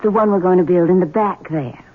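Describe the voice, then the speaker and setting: An actress playing a scheming wife who is haughty and mocking, in old radio-drama style. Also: female voice, on the low side, brisk, lightly acted. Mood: imperious. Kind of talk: 1970s radio drama acting. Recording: tinny old radio broadcast.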